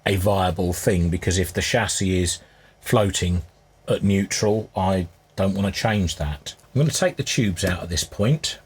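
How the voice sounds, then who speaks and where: A man with a husky voice giving casual, unscripted hands-on repair commentary, musing aloud as he works. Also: sits low, measured pace. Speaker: hobbyist restorer presenting his repair.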